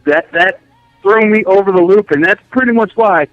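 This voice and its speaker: A man's voice: high voice, moderate pace, no room sound, soft background music. An American man calling in to a sports talk show, chatting casually over a lo-fi line. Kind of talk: casual phone-in chat. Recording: dull lo-fi radio call-in line.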